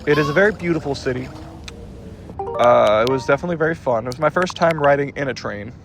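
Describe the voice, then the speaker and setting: A man talks through a video game in a loose, rambling way, correcting himself as he goes. His voice is gravelly and a bit stuffed up. Game music plays clearly behind him. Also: medium pitch, fast. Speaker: gameplay commentator. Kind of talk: casual let's-play commentary.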